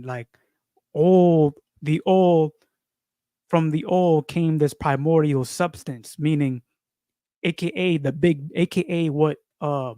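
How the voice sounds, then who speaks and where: Give me a man, rambling casually about spirituality, full of fillers, fairly high-pitched, recorded dry and close. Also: dark tone, no echo. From New York. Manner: rambling, repeats phrases, frequent fillers and slang. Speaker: video creator.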